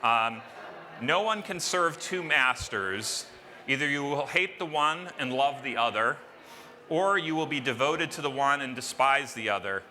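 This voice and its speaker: A man moderating a conference panel, talking loudly in a chatty, self-deprecating way. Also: medium pitch, expressive, measured pace, in a large hall.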